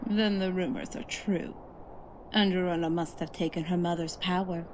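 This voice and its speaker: A proud younger elf sister voiced by a woman, a defiant warrior with a slightly low voice. Her delivery is formal and pointed, in a fantasy audio drama with faint background noise. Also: fairly even intonation, moderate pace, natural voice. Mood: grave, explaining. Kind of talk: fantasy audio roleplay acting. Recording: faint noisy ambience.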